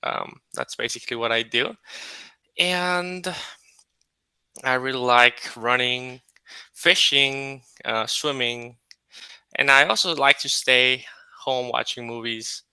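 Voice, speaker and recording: A man from California, an English tutor chatting on a video call in a relaxed, friendly way with many pauses and fillers. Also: medium pitch, clean tone, dry recording, rough recording. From California, United States. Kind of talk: casual video-call conversation.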